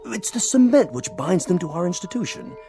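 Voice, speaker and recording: An actor playing a polished, flattering and deferential dignitary in a radio drama. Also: male voice, high voice, brisk, creaky, faint music behind, full character voice. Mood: courtly, coy. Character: courtly, flattering male dignitary, genteel fundraiser and adviser.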